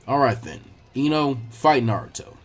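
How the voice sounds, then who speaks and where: A man voicing a calm, authoritative adult male ninja teacher with a measured delivery in a story reading. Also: medium pitch, echoey room, soft background music, voice pushed down, close to an everyday voice. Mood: commanding.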